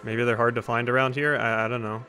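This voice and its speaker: A man's voice: medium pitch, level tone, quick pace, sharp tone, faint music behind. A man giving casual let's play commentary with wry, joking asides.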